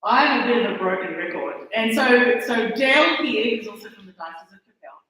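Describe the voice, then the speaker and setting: Australian woman, conference talk, chatty and halting, higher voice, booming hall reverb, distant mic. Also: animated, fairly bright tone. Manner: chatty, self-deprecating, halting with restarts. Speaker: conference speaker. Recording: echoey hall, mic far off.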